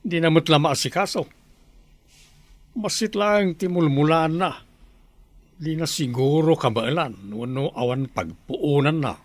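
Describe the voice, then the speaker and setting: A man's voice: on the high side, unhurried, pauses often, dry recording, close to an everyday voice. A man with an Ilocano accent playing a skeptical, ambitious village farmer in a radio comedy-drama. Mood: musing, scheming.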